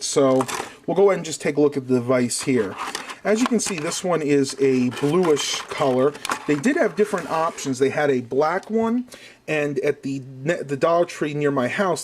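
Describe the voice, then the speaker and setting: A man's voice: on the high side, slight background noise. A man hosting an online video, casually reviewing a product and explaining it step by step to viewers in a chatty way. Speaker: YouTube channel host.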